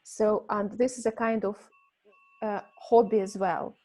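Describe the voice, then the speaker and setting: A Russian-native woman hosting an English conversation club over a video call, talking casually and halting often with fillers and restarts. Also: mid-range voice, steady tone, dark tone. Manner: hesitant, many fillers and restarts. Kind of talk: casual teaching chat.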